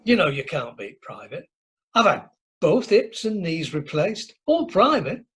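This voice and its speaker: An actor playing a boastful, jovial older husband with a Yorkshire accent, hearty and repetitive, in an amateur radio comedy. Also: male voice, a little high, sing-song, a little slow, a bit bright, husky, full character voice. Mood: smug. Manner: hearty, repeats himself.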